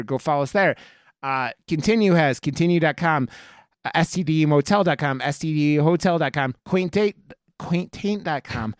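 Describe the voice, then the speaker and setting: A man's voice: a little high, smooth, no room sound, studio quality. A man hosting a casual podcast, chatting about films in a gushing, enthusiastic way with plenty of swearing. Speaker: podcast host. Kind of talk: casual, profane film review chat.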